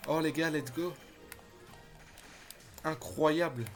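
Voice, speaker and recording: A man's voice: fairly high pitch, slow pace, faint music behind. A man streaming a video game, chatting casually with his viewers and swearing when the game frustrates him. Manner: excitable, chatty, addresses chat as "les gars". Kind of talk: casual gameplay commentary.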